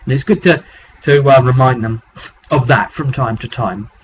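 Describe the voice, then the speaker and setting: A man's voice: mid-range voice, moderate pace. A man talking as a game master, recapping a tabletop roleplay session in a rambling, conversational storytelling way on a webcam microphone. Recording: dull webcam audio with background noise.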